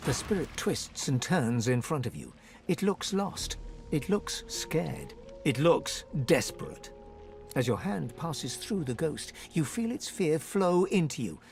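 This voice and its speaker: A man playing a theatrical, suspenseful storyteller, narrating a dark fantasy game. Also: on the high side, big pitch swings, measured pace, faint music behind. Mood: tense, ominous. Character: dramatic male storyteller of a dark fantasy tale. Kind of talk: scripted fantasy game narration, second person.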